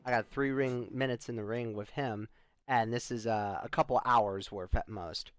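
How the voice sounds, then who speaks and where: A man's voice: medium pitch, steady tone, moderate pace, clean tone, no room sound, clean studio sound, lightly acted. A man playing a wry spaceship adventurer in loose, improvised sci-fi roleplay, joking and stretching out his lead-ins. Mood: casual, joking.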